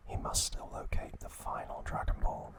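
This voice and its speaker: A man hosting ASMR, whispering in hushed, drawn-out short phrases with frequent pauses. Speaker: host of an ASMR channel. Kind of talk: ASMR, whispered trivia talk and reading aloud.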